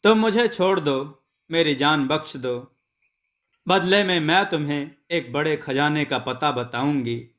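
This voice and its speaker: A small, frightened female talking bird from a folk tale, voiced by a male narrator. The voice is a bit high and unhurried. It pleads and bargains anxiously, in storybook style. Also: a bit bright. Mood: pleading.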